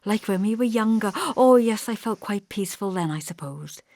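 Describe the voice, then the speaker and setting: A woman voicing a brittle, wistful young pregnant wife in an audiobook, with a detached manner that drifts from bright to toneless. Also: mid-range voice, animated, normal speed, dry recording, voice pushed up, close to an everyday voice.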